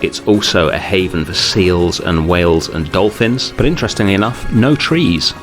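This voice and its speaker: Young guy, really low voice, chill game build commentary with some ums, guitar music under it. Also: moderate pace. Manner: easygoing, steady, with frequent ums and uhs. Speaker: game channel host. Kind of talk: relaxed build commentary over gameplay.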